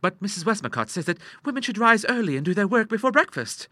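A man's voice: high voice, very animated, fast talker, bright, forceful tone, no echo, voice pushed up, exaggerated voice. A man voicing an eager, self-assured young daughter with a polite, airy manner in an audiobook. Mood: contented, eager. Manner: polite, airy, earnest, hesitating. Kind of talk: audiobook character voice.

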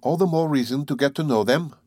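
Guy doing a polite loyal servant from an audiobook, placid, deliberate, dry wit, close dry sound. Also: on the high side, mellow, dry recording, voice pushed up, natural voice. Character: deferential, placid manservant, loyal and dryly witty. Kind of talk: audiobook character voice.

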